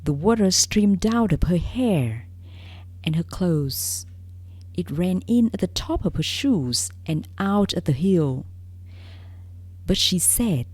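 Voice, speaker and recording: A woman reading a fairy tale aloud as a read-along for learners, in careful, deliberate phrases with frequent pauses. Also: on the low side, a little mellow, no echo. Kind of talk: scripted read-along storybook narration.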